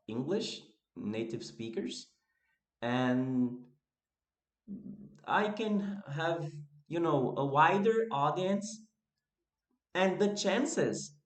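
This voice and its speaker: A Brazilian-accented man speaks English as a second language in an unhurried, loose monologue, often backing up to restart a phrase. His voice sits fairly high for a man. The room around him rings with noticeable echo. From northeast Brazil. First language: Portuguese. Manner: relaxed, restarts phrases, laughs at himself. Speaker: YouTuber and English teacher. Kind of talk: casual unscripted monologue to camera. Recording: echoey room.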